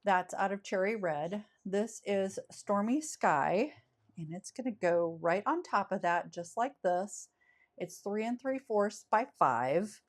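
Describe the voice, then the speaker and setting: A woman with a scratchy voice from a summer cold walks viewers through a card-making project at a slow, unhurried pace. She talks in an easygoing, chatty way and often stops to correct herself. Her pitch sits in a middle range. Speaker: craft channel host. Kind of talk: step-by-step craft tutorial.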